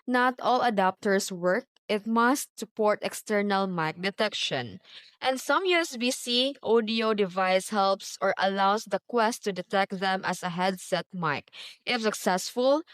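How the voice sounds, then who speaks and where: A woman's voice: mid-range voice, unhurried, fairly bright tone, no room sound. A woman giving scripted, step-by-step tutorial narration in short instructional phrases.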